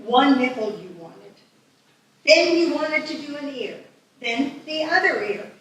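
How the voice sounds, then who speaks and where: Woman playing a high-pitched, salty old wife, echoey hall. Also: animated, a little slow, fairly bright tone, slight background noise. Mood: scolding, teasing. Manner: salty, dry teasing. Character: sharp-tongued, sarcastic elderly wife, fond but nagging. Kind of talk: live screenplay table read. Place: live festival stage reading. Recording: echoey hall, distant microphone.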